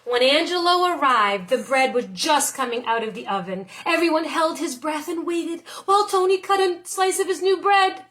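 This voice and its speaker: High-pitched woman reading a storybook. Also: normal speed, few pauses, fairly bright tone. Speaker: teacher reading a picture book aloud. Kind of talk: expressive storybook narration.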